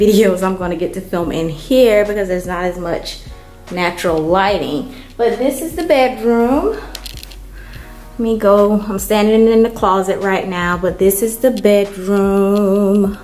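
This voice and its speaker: A woman vlogger giving a casual, unhurried walkthrough of an empty apartment, filmed on a handheld camera. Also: on the low side. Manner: unhurried, chatty, many ums. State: hot and worn out from moving.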